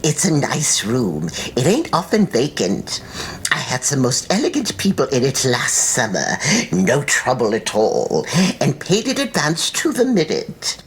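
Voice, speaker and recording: A woman's voice: rumbling low, huge pitch swings, measured pace, slight background noise, full character voice, poor audio. A woman playing a fawning, unwholesome housekeeper in an audiobook, with folksy, ungrammatical landlady patter. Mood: ingratiating, salesy. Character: fawning, unwholesome older housekeeper renting out rooms. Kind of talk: acted audiobook character dialogue.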